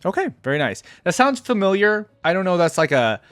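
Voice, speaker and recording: A woman's voice: on the low side, very animated, brisk, no echo. A woman giving casual reaction commentary as a video host, close to the microphone.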